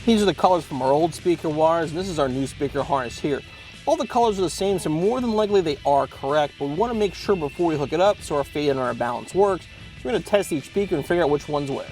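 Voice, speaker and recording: Higher-pitched man giving a tutorial. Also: animated, fast talker, a little mellow, faint music behind, low-quality recording. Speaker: host of car parts installation tutorial. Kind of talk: instructional product demonstration.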